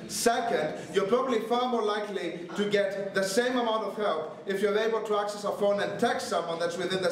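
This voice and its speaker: A young man from Greece giving a brisk, sarcastic competitive debate speech. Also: high voice. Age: young adult. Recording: echoey room, distant mic.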